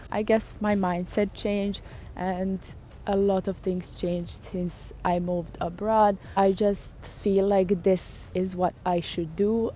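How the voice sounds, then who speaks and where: Young serbian woman chatting outside, mellow lowish voice, faint street sound behind. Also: a little hiss or hum. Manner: chatty, thinks aloud with fillers. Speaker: vlogger. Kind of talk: casual talk to camera. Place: outdoors in a city.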